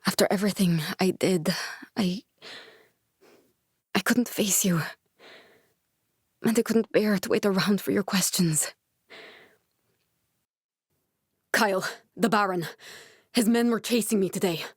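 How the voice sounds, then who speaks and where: A woman's voice: mid-range voice, unhurried, long pauses, slightly rough, no room sound, studio quality. A young woman actor playing a determined, guarded scientist in a video game, speaking in an earnest, serious way. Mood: serious, urgent. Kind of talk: dramatic video game dialogue.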